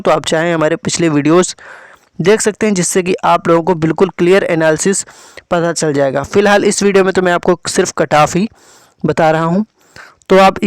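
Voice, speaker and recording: A man's voice: fairly high pitch, no echo. A man presenting an exam update for students, instructive, repeating key figures and addressing listeners directly.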